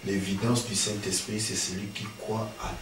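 Un homme d'âge mûr qui anime l'entretien, ponctue de murmures d'approbation et pose des questions insistantes. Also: male voice, lowish voice, flat delivery, moderate pace, soft background music. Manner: frequent affirming murmurs, probing questions. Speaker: interviewer. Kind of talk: religious TV interview. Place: small studio with patterned wallpaper. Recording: roomy, distant, faint hiss.

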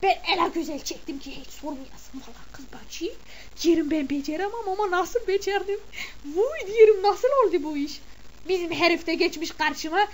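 Woman doing a middle-aged village mother, very high voice, chatty, exclamatory, scolding. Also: normal speed. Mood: gossipy. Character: gossiping village mother of the bride, indignant. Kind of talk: comic character monologue.

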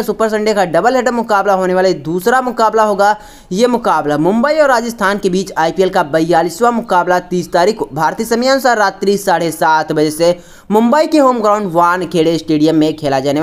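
Casting: female sports preview presenter, very quick delivery, slightly low pitch, scripted and formulaic. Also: a little mellow. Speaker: cricket match preview presenter. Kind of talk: scripted sports preview narration for online video.

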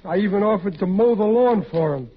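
High-voiced, hapless working-class dad played by an actor. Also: male voice, a little fast, crowd noise, close to an everyday voice. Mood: glum, self-pitying. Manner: plain-spoken, folksy, ungrammatical, sighing. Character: hapless, dejected working-class husband and father. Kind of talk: 1940s radio comedy acting. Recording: muffled, noisy 1940s radio recording.

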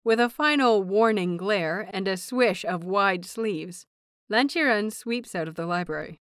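A woman reading scripted fiction aloud as an audiobook narrator, in an expressive, measured storytelling manner. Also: medium pitch, no room sound, clean studio sound.

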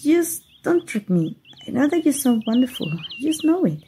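A shy, giggly young fairy who keeps stumbling over her words, her voice a little high but soft and mellow, as a woman voices her. Faint birdsong drifts behind her in this gentle ASMR roleplay. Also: big pitch swings, normal speed, a little hiss or hum. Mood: flustered. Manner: giggly, bashful, stammering. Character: playful flustered young female fairy. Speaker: voice actor.

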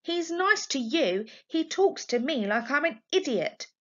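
Storybook role: stroppy young girl, read by a woman, raised pitch, petulant and emphatic, slightly muffled recording. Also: sing-song, measured pace. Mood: indignant. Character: stroppy, stubborn young girl arguing with her mother. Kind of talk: storybook character voice. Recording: dull, lo-fi home recording.

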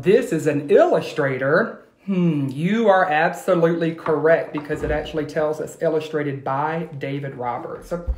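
An American man, a teacher in his thirties, reading aloud to children and asking them questions in an expressive, instructional way, recorded on a phone at home. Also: on the high side. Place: small room at home, indoors. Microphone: phone at arm's length. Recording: echoey room, phone recording.

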